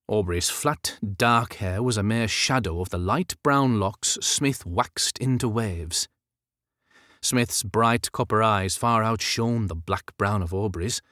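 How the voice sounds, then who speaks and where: A man's voice: lowish voice, no room sound, clean studio sound. A man reading an audiobook as scripted literary narration.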